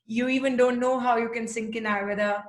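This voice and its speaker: A woman's voice: on the high side, steady tone, a little fast. A woman hosting an interview on a video call, speaking in an encouraging, flowing way. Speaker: Ayurveda teacher, interview host. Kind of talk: casual interview chat. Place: home office, video call. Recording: roomy video call audio.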